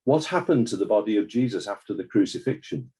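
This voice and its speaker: An actor playing a formal court examiner, speaking in a measured, deliberate way in a scripted dramatized inquiry. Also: male voice, fairly low pitch, animated, mellow, husky. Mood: probing, neutral. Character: formal, probing male inquest examiner.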